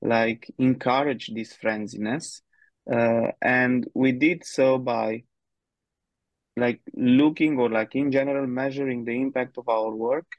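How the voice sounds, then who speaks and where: A man's voice: medium pitch, steady tone, slow, no echo, highs cut off. A man from Romania, answering interview questions in a hesitant, thinking-aloud way with many fillers. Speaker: guest, head of people.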